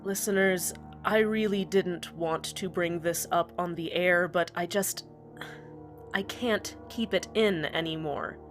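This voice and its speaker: Woman playing a contrite radio host, unhurried, halting delivery over faint music. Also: lowish voice, lightly acted. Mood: remorseful. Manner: earnest and halting, full of pauses. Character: heartbroken, apologetic community radio host. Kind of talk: fan radio drama monologue.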